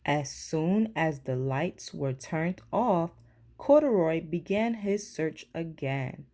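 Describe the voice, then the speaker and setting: A woman's voice: deep voice, expressive, takes it slowly, mellow. A woman reading a children's picture book aloud as a teacher would. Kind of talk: children's storybook narration.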